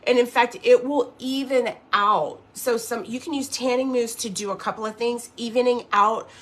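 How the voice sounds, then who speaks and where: A woman's voice: on the high side, steady tone, moderate pace. A woman in her early fifties hosting a casual makeup livestream, chatting and rambling as she works and reads out viewer comments. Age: 50s.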